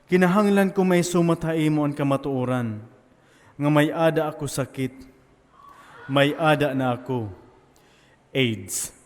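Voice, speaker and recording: A man's voice: a little high, unhurried, long pauses, natural voice. A man with an Eastern Visayan Filipino accent acting a guilt-ridden philandering husband confessing in an amateur stage play. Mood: remorseful confession. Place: theatre stage in a hall. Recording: echoey hall, faint music behind.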